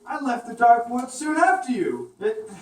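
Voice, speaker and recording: A woman playing a gentle, earnest male woodmouse traveler in a children's puppet show, a little hesitant. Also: a little high, sing-song, normal speed. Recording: distant echoey room, faint noise.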